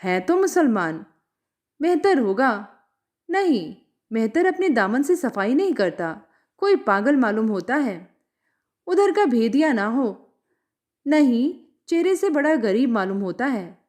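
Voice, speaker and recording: A woman's voice: on the high side, sing-song, takes it slowly, a little mellow. A woman storyteller voicing suspicious, scheming male temple devotees in a brusque, sneering manner.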